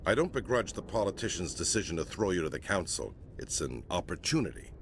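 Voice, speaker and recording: Gruff, animated space navy admiral. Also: male voice, deep voice, fairly quick, a little hiss or hum. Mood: stern, disdainful. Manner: blunt, stern, grumbling. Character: gruff, disapproving senior space navy admiral inspecting a warship. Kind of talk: video game voice acting.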